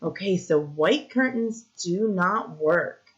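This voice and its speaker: Low-voiced woman reading aloud to children. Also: animated, takes it slowly. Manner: simple, explanatory, question-and-answer delivery. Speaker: teacher reading a picture book aloud. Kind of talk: read-aloud for young children, pausing to ask questions. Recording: dull, lo-fi recording.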